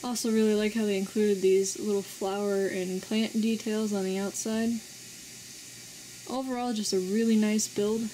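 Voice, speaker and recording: A woman casually reviewing a toy set as host of her channel, relaxed and plainly enthusiastic, pausing often. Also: medium pitch, slight background noise, rough recording. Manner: relaxed, plain enthusiasm with frequent filler pauses.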